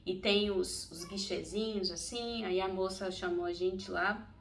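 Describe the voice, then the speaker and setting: A middle-aged woman from Brazil recounts her story in a relaxed, talkative way, often breaking into laughter. Her voice sits at an ordinary middle pitch. The mic is a little distant, with a faint ambient hiss behind her. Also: measured pace, creaky. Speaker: vlogger. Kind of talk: casual storytelling vlog.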